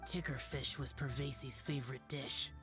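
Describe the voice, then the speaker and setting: A man's voice: mid-range voice, fairly even intonation, normal speed, close to an everyday voice. An actor voicing a solemn, aloof, youthful male immortal guardian in a video game, terse and grave, over music. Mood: reserved. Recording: music playing behind the voice.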